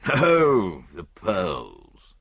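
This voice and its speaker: A man's voice: fairly low pitch, huge pitch swings, extremely slow, fairly bright tone, breathy edge, no echo, full character voice. A man voicing a rich, self-satisfied stockbroker who rambles in clipped, blustering phrases. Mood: smug, grumbling.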